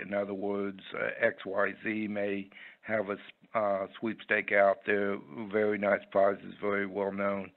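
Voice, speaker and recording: Gravelly man on a tinny phone line. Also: lowish voice. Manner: halting, rambling, folksy. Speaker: interview guest, website owner. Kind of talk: unscripted interview answers.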